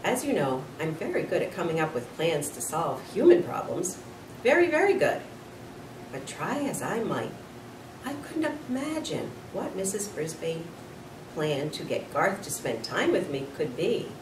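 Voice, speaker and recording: A woman reading a children's book aloud as an expressive narrator. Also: on the low side, measured pace. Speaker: storybook reader. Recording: echoey room, distant mic, noisy background.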